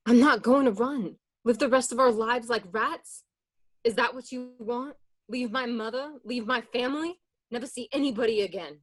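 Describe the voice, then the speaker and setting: A woman's voice: on the high side, moderate pace, natural voice. A woman acting a frightened, distrustful mobster's wife and mother in an amateur radio play, emotional and insistent. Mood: defiant.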